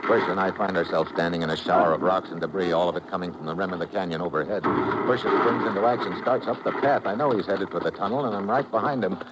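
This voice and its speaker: An actor playing a tough, laconic American secret agent, clipped and deadpan, in a 1950s radio adventure. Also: male voice, low voice, fast talker, natural voice. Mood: terse, tense narration. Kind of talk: 1950s radio drama acting, hardboiled narration. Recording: noisy vintage radio recording.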